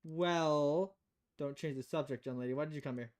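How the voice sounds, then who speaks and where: A woman voicing a stern, world-weary female bartender in a visual novel, deadpan, blunt and measured. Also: sits low, voice pushed down, close to an everyday voice. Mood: weary, firm.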